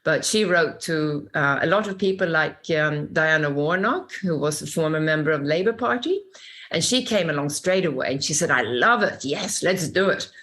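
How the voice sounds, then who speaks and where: Older Swedish-accented woman, low voice, warm reflective storyteller; interview guest. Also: lively intonation, normal speed. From Sweden.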